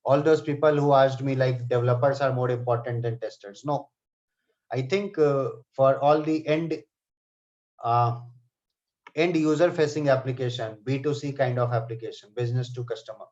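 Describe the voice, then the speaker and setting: A man leads a live online class, addressing students by name and checking in with "okay" and "right". His voice sits at an ordinary middle pitch. The call audio is slightly dull and muffled. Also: moderate pace, a little mellow. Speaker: software testing trainer. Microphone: computer mic on a video call.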